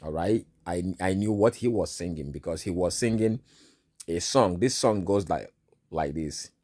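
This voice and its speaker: A man's voice: low-pitched, normal speed, darkish tone, no room sound, clean studio sound. A Nigerian man whose native tongue is Igbo, sharing a dream in an informal spoken sermon and dotting his talk with "you know" and "all right".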